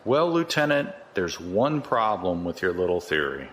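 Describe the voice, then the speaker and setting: An actor in a science fiction audio drama playing a cocky, folksy, corrupt security chief who talks in a chatty, colloquial way. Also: male voice, low-pitched, sing-song, moderate pace, a bit bright, slight background noise. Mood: smug, threatening.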